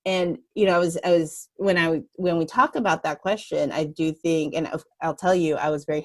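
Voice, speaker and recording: A woman's voice: deep voice, darkish tone. A woman hosting a podcast, who asks earnest, reflective questions and shares her own feelings in an easy conversational tone. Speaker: podcast host. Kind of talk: conversational interview.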